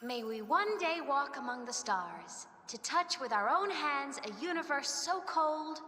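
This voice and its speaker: A woman's voice: sits high, sing-song, unhurried, bright, forceful tone, a little hiss or hum, full character voice. A woman playing an earnest, wistful young academy student and musician in a video game, speaking in a heartfelt way. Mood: hopeful, playful. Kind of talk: emotive video game story acting. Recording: long hall reverb, music behind.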